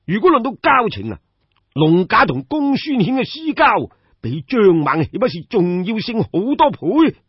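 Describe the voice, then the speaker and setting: A man's voice: high-pitched, sing-song, normal speed, husky, no echo, muffled recording, voice pushed up, heavily acted. A man with a Cantonese accent voicing an eager, hot-headed young prince of ancient China, urgent and insistent, in an audio story. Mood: alarmed.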